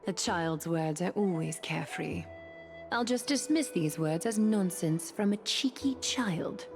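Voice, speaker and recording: A woman's voice: fairly low pitch, expressive, a little slow. An actress playing a coolly threatening woman leader in a video game, formal, controlled and commanding. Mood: cool, stern. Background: background music.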